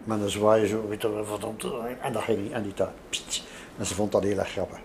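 An older man with a Flemish accent, telling informal stories from his past in an animated way as an interview guest. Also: fairly low pitch, fairly quick, slight background noise. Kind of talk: informal spoken reminiscence.

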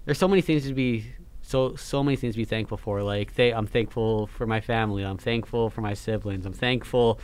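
A man's voice: fairly low pitch, a little fast, darkish tone, smooth, no room sound. A young man co-hosting a faith podcast, talking in a rambling way with many restarts and fillers. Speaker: podcast co-host. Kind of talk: casual faith podcast chat.

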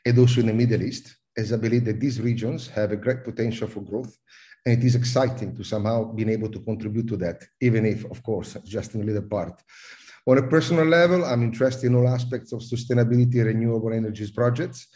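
A middle-aged Italian man speaks in a low voice with a mellow, warm tone, his accent plain in every phrase. He talks as an interview guest, often pausing on "uh" and repeating a word before going on. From Italy. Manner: hesitant, repeats words and fills with uh. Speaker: guest, cruise industry advisor. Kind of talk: podcast interview.

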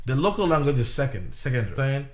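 Ghana-born man, relaxed conversational guest, rambling with fillers, mid-pitched. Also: fast talker. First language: a Ghanaian language. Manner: relaxed, rambling, many fillers and restarts, chuckles often. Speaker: interviewee. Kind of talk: casual conversation.

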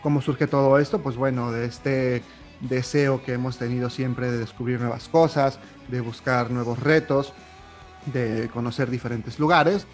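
A man's voice: medium pitch, steady tone, measured pace, soft background music. A young man from Mexico with a Mexican Spanish accent, hosting a solo podcast in a chatty way, with a lot of "eh, pues". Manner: chatty, warm-hearted, with filler "eh, pues". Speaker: podcast host.

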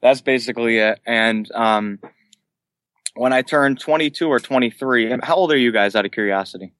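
A man's voice: medium pitch, normal speed, fairly bright tone, no room sound. A man in his late twenties, a band vocalist, answering casually as an interview guest. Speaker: guest, band vocalist who sings and screams.

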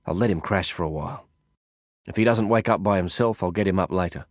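A man's voice: low voice, a little fast, no echo. A man voicing a wry, guarded man in an audiobook, speaking in a dry, laconic drawl. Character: guarded, wry man, the narrator's younger self.

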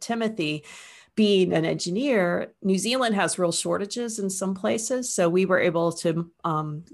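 A woman's voice: low voice, vocal fry. A middle-aged American woman from Northeast Ohio, a university teacher, chatting enthusiastically and rambling with fillers on a video call. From Northeast Ohio, USA. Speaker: guest, university media studies teacher. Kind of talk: casual chat on a call.

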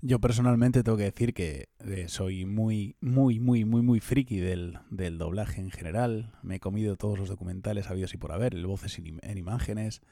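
A man's voice: lowish voice, normal speed, vocal fry, few pauses, mellow, no room sound, clean studio sound. A man interviewing a voice actor, asking eager questions and repeating words as he talks.

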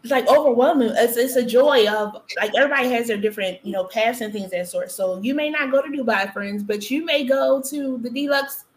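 A woman's voice: a little high, fairly quick, few pauses. A woman host, chatting casually and encouragingly with her guest. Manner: encouraging, frequent affirmations. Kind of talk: casual interview talk. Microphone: video call.

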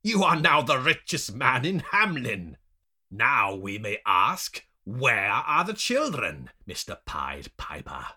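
Man acting a snarling, taunting male captor, forceful. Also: deep voice, expressive, slow pace, exaggerated voice. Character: cruel, taunting male captor, commanding and sneering.

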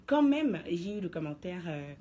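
A woman with a somewhat low voice delivers her radio column in a studio. She explains her argument to listeners in a firm, lecturing manner, with a faint hiss behind her. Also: very animated, normal speed, dark tone. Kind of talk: radio commentary segment.

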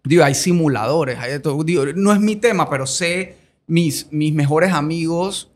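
Slightly high-voiced Colombian-born host. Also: male voice, normal speed. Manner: rambling, self-correcting questions. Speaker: podcast host. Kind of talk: casual podcast interview.

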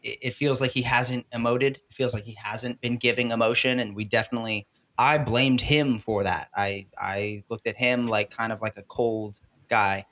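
A man co-hosting a podcast, chatting casually in an earnest, halting way with repeated phrases. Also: medium pitch, fairly even intonation, fairly bright tone. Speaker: podcast co-host. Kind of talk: casual chat.

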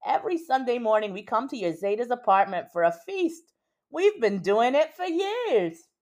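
A woman's voice: a little high, big pitch swings, normal speed, darkish tone. Eager storybook old man, keen on the weekly feast, read by a woman.

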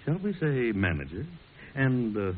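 An actor playing a smooth-talking, menacing political heavy in an old-time radio drama, with a measured, insinuating manner. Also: male voice, medium pitch, big pitch swings. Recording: hissy, muffled old radio recording.

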